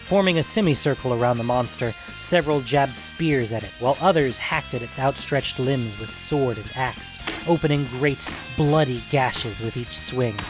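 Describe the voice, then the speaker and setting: Man narrating dark fantasy over music. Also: mid-range voice, moderate pace, hardly any pauses. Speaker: story narrator. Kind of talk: scripted fantasy story narration, dramatic audiobook delivery.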